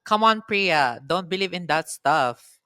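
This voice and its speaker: A woman playing a low-voiced, whiny, naughty boy. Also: expressive, a little slow, bright, forceful tone, clear, resonant tone, dry recording, studio quality. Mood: brash, dismissive. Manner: whiny, stubborn. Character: naughty, whiny, easily scared young boy.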